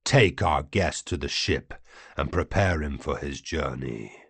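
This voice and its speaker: A man voicing a giant alien warlord in an audiobook, cold and contemptuous, with a breathy growl and drawn-out, menacing delivery. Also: very deep voice, animated, fairly bright tone, dry recording, pitched below the performer's own voice, full character voice. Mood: commanding. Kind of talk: audiobook character acting.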